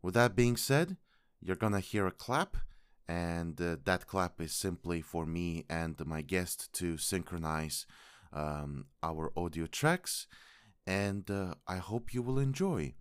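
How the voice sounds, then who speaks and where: A man hosting a podcast, speaking formally and politely with a sore throat and many halting pauses. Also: sits low, expressive, smooth, no echo, clean studio sound. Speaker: podcast host. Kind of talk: podcast intro monologue.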